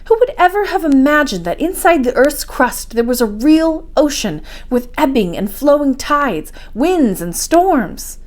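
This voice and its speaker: Woman reading as a curious, excitable young nephew. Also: high voice, a little slow, few pauses, voice pushed up. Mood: amazed. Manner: questioning, excitable.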